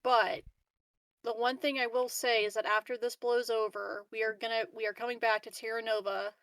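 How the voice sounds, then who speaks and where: Woman playing a blunt, sarcastic high-voiced pilot. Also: little pitch movement, moderate pace, fairly bright tone, dry recording, recorded from a distance, poor audio. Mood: firm, threatening. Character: blunt, sarcastic gun-toting female pilot.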